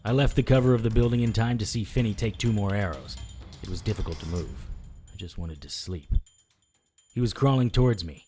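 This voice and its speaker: An actor playing a battered, wry private investigator, narrating in the first person in an audio drama. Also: male voice, fairly low pitch, fairly quick, dark tone, faint music behind, natural voice. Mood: weary, fading. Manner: dry, hard-boiled.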